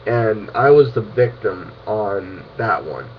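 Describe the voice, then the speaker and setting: A man's voice: lowish voice, expressive, takes it slowly. A young man in casual, agreeable chat over a two-way ham radio. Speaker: amateur radio operator. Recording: muffled radio audio, faint noise.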